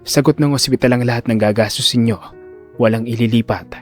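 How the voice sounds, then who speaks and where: A man playing a calm, authoritative chief neurosurgeon with a cold, commanding manner in an audio drama. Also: medium pitch, a little fast, faint music behind, pitched below the performer's own voice, lightly acted. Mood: firm reassurance. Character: cold commanding male chief neurosurgeon, quietly menacing, protective.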